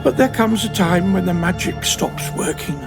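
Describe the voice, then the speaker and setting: A man acting a grave, mysterious elderly gentleman in an audio drama, solemn and theatrical. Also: high voice, lively intonation, moderate pace, creaky. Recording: very loud music behind. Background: dramatic trailer music.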